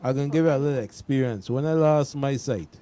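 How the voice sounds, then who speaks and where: Guy sharing his experience casually, dark mellow voice, mid pitch, chatty. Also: a little fast. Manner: conversational, often adds "you know". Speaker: discussion participant. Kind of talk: casual personal account.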